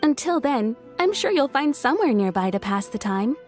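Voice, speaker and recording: Very high, lilting shopkeeper. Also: female voice, very animated, normal speed, over loud music. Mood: obliging. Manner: courteous, professional. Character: polite, businesslike female tailor shopkeeper, eager to help. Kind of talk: anime game voice acting.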